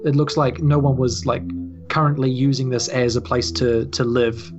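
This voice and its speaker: A woman's voice: very deep voice, fairly even intonation, moderate pace. A woman with a New Zealand accent casually narrating a tabletop game as game master, informal and hesitant. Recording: slightly dull, music bed behind. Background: background music.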